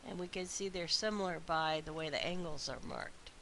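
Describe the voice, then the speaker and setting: A woman math instructor recording a lecture while congested from a sinus infection, her voice weak and stuffy, explaining slowly with frequent uhs and ums. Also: lowish voice, bright, forceful tone. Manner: slow, methodical explaining with frequent uh and um. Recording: faint hiss.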